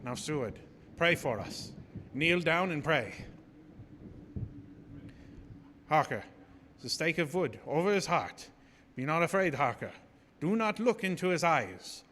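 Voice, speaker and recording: A grave old vampire hunter speaks in halting, commanding phrases. His voice sits fairly high, ringing through long reverb over clear noise, in the manner of a live radio horror play. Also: sing-song, fairly bright tone. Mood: urgent, commanding. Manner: solemn, halting foreign phrasing. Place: small theater stage. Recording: noisy live stage broadcast, long hall reverb.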